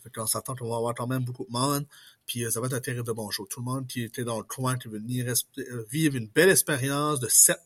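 A man's voice: medium pitch, fairly even intonation, fast, fairly bright tone. A man, a pro wrestler guesting on a podcast, talking with enthusiasm and slipping in English words. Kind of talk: casual interview chat.

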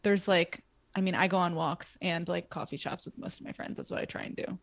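A young woman in her twenties co-hosting a casual podcast, her voice sitting a little low. She talks in a chatty, rambling way, full of "like" and quick restarts. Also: fairly even intonation, vocal fry, no room sound. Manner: chatty, filler-heavy, frequent "like". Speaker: podcast co-host. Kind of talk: casual chat.

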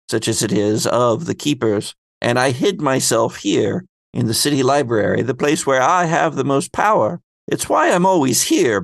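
A man plays a secretive female librarian in a tabletop game, a torn keeper of stories. The delivery is formal and measured, given to careful exposition, in a dry, close sound. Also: mid-range voice, vocal fry, dry recording, heavily acted. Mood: grave, confiding.

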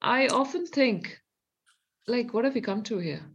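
A woman's voice: on the high side, animated, unhurried, breathy edge, highs cut off. A middle-aged woman with an Indian accent, co-hosting a talk show with sardonic, questioning commentary. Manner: sardonic, questioning, reads from reports. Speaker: co-host.

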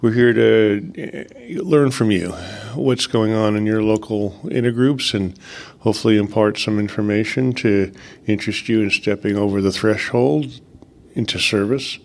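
A man's voice: lowish voice, moderate pace, gravelly. A man chairing a committee panel at a conference, opening with filler-heavy, self-deprecating remarks. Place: conference meeting room.

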